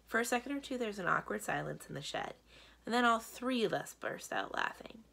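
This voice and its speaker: A woman's voice: mid-range voice, animated, brisk, vocal fry, sharp tone. A woman reading a children's book aloud as a library storyteller, narrating expressively.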